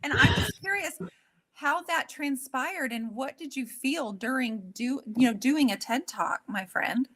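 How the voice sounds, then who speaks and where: A woman's voice: high-pitched, animated, unhurried, fairly bright tone. A woman co-hosting a talk show, interviewing a fellow host in an effusive, affirming way. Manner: effusive, affirming, calls guest "my friend".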